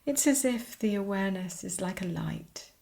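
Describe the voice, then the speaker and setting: A woman teaching a guided meditation, speaking soothingly in short, unhurried phrases with pauses. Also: mid-range voice, animated, creaky, dark tone.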